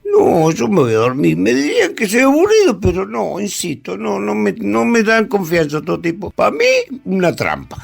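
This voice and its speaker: A man's voice: sits high, very animated, moderate pace, gravelly, few pauses, breathy edge, full character voice. A man with an Argentine accent playing a distrustful little snail in a radio fable, worried, hesitant and stammering. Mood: stubborn, wary. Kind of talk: radio fable acting with music bed.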